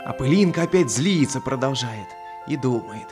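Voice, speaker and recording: A man's voice: mid-range voice, lively intonation, normal speed. A man narrating a children's fairy tale as a storyteller, theatrical and addressed to small listeners. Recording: music behind the voice.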